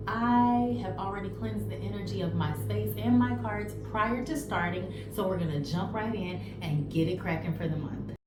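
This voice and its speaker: A woman's voice: mid-range voice, moderate pace, background noise. A woman tarot reader, blunt and chatty, giving a rambling casual reading outdoors while congested and sniffly. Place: outdoors in the early morning.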